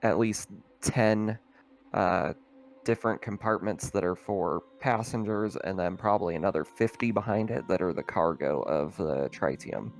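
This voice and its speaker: A man's voice: deep voice, moderate pace, gravelly. A man narrating a tabletop roleplaying game as game master, explaining scenes in a casual, hesitant way.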